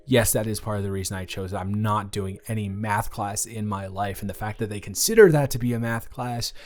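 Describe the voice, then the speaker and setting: A man's voice: lowish voice, a little fast, close to an everyday voice. A young man playing a friendly, slightly awkward college student in a roleplay audio, talking casually and hesitantly to the listener as a dormmate. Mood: excited, friendly. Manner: rambling, animated, hesitant casual speech.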